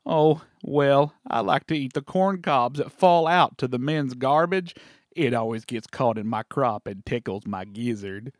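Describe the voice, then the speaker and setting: A man voicing a slow, naive, gentle turkey. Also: medium pitch, no echo, clean studio sound, voice pushed up, close to an everyday voice. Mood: bashful, earnest. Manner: slow, simple, earnest. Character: naive, gentle, slow-witted, gluttonous young male turkey. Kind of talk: animal storybook character voice.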